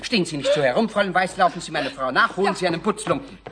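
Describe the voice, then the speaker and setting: An actor playing a flustered, irritable middle-aged office boss who blusters and stammers pompously, in a children's radio play. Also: male voice, high voice, expressive, rapid-fire. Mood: indignant.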